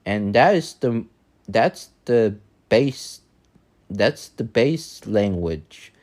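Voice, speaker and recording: A man's voice: on the low side, lively intonation, very slow, darkish tone. A man with a Vietnamese accent, whose first language is Vietnamese, chatting casually on a live stream, thinking aloud with many fillers and restarts. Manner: thinking aloud while working.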